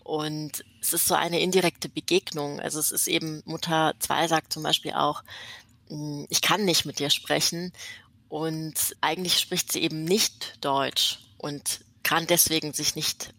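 Low voiced woman guest explaining stuff thoughtfully, dry close sound, conversational. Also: fairly even intonation, measured pace, vocal fry, bright, forceful tone, no room sound. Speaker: interview guest.

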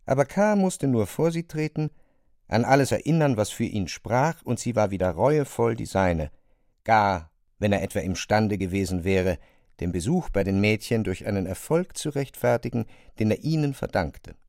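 A man's voice: fairly low pitch, expressive, moderate pace, a little mellow, dry recording, studio quality. A man narrating a literary story from a script.

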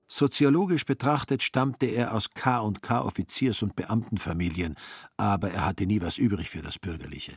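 A male narrator reads literary prose for a radio drama. His voice sits low and has a husky grain. The delivery is scripted storytelling. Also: normal speed, no room sound.

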